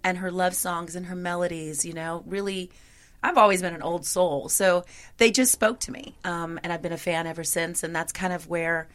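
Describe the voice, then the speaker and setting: A woman singer in a conversational interview, with a gravelly voice and a chatty manner full of "you know". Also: on the low side, steady tone, normal speed, fairly bright tone.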